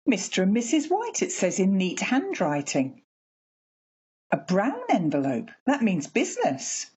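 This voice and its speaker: A woman playing a fussy, chatty working-class mother in a radio play, homely and expressive. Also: mid-range voice, measured pace, husky, lo-fi sound, full character voice. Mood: curious, chatty.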